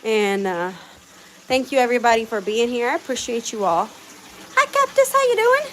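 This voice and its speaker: A woman's voice: a little high, big pitch swings, moderate pace, a bit bright, slight background noise, rough recording. A woman from Puerto Rico chatting with her live viewers while doing chores outdoors with her animals. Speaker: livestream host.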